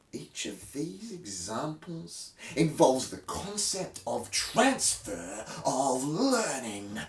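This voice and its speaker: A man reads aloud like a stage performer, slowly and with great melodrama. His pitch swings widely between swelling emphasis and hushed phrases. He sounds set back from the microphone in a roomy space. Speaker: host reading a textbook aloud. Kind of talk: theatrical dramatic reading. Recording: roomy echo, distant microphone.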